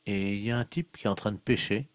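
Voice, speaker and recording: A man making a deadpan prank phone call, speaking seriously and a little hesitantly. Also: on the low side, fast, dry recording. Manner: serious, hesitant delivery of absurd claims. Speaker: prank caller.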